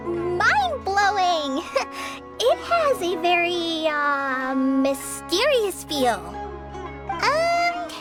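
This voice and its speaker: A woman voicing a small, excitable, childlike floating companion creature with a squeaky voice in an anime video game. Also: very slow, over loud music, full character voice. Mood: awkward, diplomatic.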